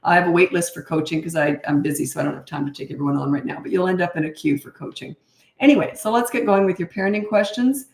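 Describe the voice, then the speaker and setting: Chatty, slightly hoarse woman, echoey room. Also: on the low side, fast talker, darkish tone. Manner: chatty, blunt, practical advice with asides. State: losing her voice, slightly hoarse. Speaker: parenting coach. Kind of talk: casual livestream Q&A.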